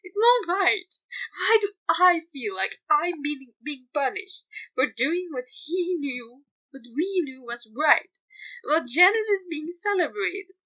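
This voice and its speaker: A woman's voice: high-pitched, sing-song, slow, sharp tone, muffled recording, voice pushed up, full character voice. A woman voicing a distraught, proud young man who is crying over his failure, in a story reading. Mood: anguished, pleading. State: crying, voice breaking.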